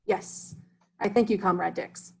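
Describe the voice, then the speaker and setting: A man reading a formal, breathy immigrant teacher. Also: high-pitched, steady tone, a little mellow, heavily acted. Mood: earnest. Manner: formal, drops articles, precise and measured. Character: erudite immigrant male substitute teacher, formal. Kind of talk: amateur play reading.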